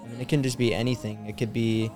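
A man's voice: mid-range voice, little pitch movement, quick pace, over music. A young man in his twenties, a guest on a podcast, talking casually with many fillers and a little nervous. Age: young adult. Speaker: guest, store owner. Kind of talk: casual interview chat.

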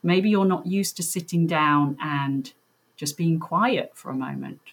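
A woman leading a guided breathing meditation, giving gentle, reassuring, unhurried instructions. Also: low voice, breathy edge.

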